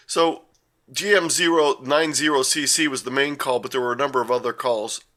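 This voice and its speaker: An older man tells a casual story over slides, wandering off track and correcting himself as he goes. His voice is bright and forward, at an ordinary middle pitch, heard over a video call. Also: steady tone, a little fast. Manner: rambling, with frequent uh and self-corrections. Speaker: guest presenter.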